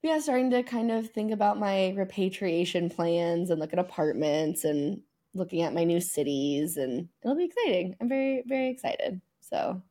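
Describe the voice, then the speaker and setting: A woman's voice: fairly low pitch, animated, normal speed, dark tone. A young American woman co-hosting a podcast in casual chat, talking in a chatty, emphatic, slangy way. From United States. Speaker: podcast co-host.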